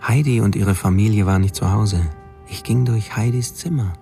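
An actor playing a troubled young drifter confessing his obsessions in a deliberate, brooding monologue for a radio drama. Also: on the low side, vocal fry, dark tone, soft background music. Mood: brooding, confessional.

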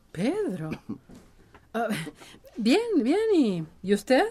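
An actress playing a hesitant, flustered village herbalist who repeats herself haltingly in a radio drama. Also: female voice, a little high, big pitch swings, warm tone, slightly rough, full character voice.

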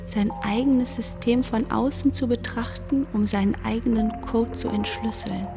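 Creaky, higher-voiced woman narrator. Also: steady tone, normal speed. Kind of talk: scripted narration in radio drama. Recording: music under the voice.

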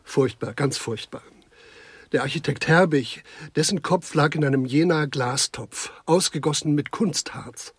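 An actor in a German radio crime comedy, playing a genteel, fussy administrator who laments in a plaintive, repetitive way. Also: male voice, on the high side, measured pace, breathy edge, dry recording. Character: fussy, lamenting gentleman administrator, easily appalled.

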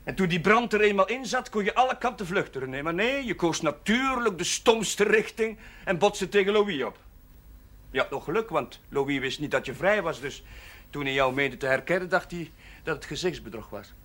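An actor playing an earnest, patient and compassionate village chaplain in a Dutch television period drama. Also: male voice, high-pitched, lively intonation, moderate pace, fairly bright tone. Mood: reproachful, coaxing. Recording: faint background noise, old TV soundtrack.

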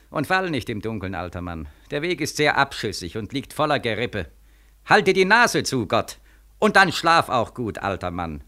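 An actor in a 1940s radio play as a bitter, desperate young soldier, mocking and questioning insistently. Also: male voice, mid-range voice, sharp tone. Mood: scornful.

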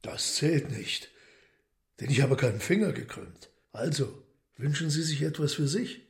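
Imperious devil in a radio drama, bit high for a man, creaky, coolly mocking. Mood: brusque, wry. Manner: measured, imperious, formal, ironic. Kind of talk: German radio play acting.

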